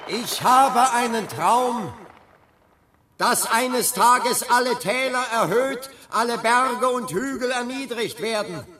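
Impassioned preacher orating high and slow. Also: male voice, heavily acted. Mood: fervent, solemn. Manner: declamatory, deliberate. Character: impassioned civil rights preacher addressing a vast crowd. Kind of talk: slow rhetorical speech in a radio drama. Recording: loud crowd and noise behind.

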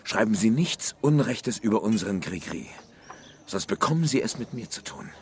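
A low-voiced provincial bigwig, blustering and self-righteous, in a radio crime drama. His delivery swings widely in pitch, with hems and menace. Birds and insects sound behind him. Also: animated, normal speed, slight background noise. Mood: indignant, threatening. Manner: hesitant fillers, sneering chuckles, menacing bluster. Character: blustering provincial hunting-club treasurer, hostile and self-righteous.

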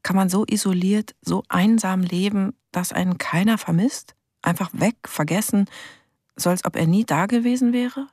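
A woman's voice: mid-range voice, moderate pace, darkish tone, no echo, clean studio sound, lightly acted. A woman playing a brooding young investigator in a radio crime drama, reflective, questioning and bitter in a naturalistic monologue. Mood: bitter, pensive. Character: brooding young investigator troubled by a first murder case.

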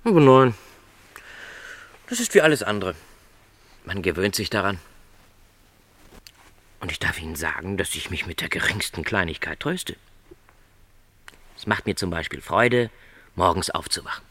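An actor playing a gentle middle-aged travelling salesman in a literary radio play, earnest and reassuring in formal wording. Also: low voice, very animated, normal speed, pauses often, exaggerated voice.

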